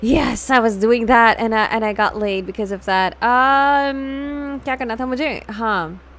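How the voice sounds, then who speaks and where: A woman's voice: a little high, a little fast, a bit bright. A woman chatting casually to viewers as a livestream host, scattered, often repeating words and switching between languages. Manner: switches between English and Hindi mid-talk.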